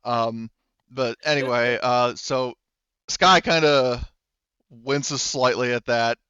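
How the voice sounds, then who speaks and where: A man narrating a tabletop game casually as game master, in a halting, conversational way. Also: medium pitch, steady tone, pushed and bright, clear, resonant tone, dry recording, dull audio.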